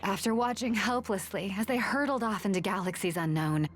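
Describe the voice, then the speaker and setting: A woman's voice: mid-range voice, brisk, lightly acted. An actress playing a tough, wry woman survivor, narrating a video game story with dramatic flair and dry humour. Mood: solemn, determined. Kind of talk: scripted first-person cutscene narration. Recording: music behind the voice.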